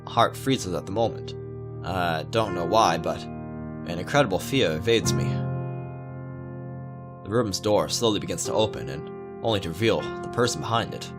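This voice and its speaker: Male let's-player, low voice, casual halting read of visual novel text, guitar music behind. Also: lively intonation. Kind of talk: casual read-aloud narration with asides.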